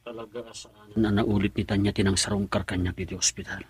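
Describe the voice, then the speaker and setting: A man in an Ilocano family radio drama. His voice is low and husky with an Ilocano accent, in a dry recording. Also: flat delivery, fast, no echo. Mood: troubled.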